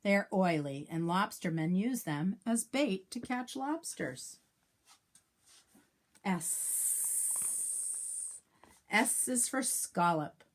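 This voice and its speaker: Female teacher for children's read-aloud: unhurried, lively intonation, careful enunciation, chatty asides to little listeners. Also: mid-range voice. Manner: sing-song, enunciating letters for young children; adds asides and questions to young listeners. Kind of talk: read-aloud of a children's alphabet picture book.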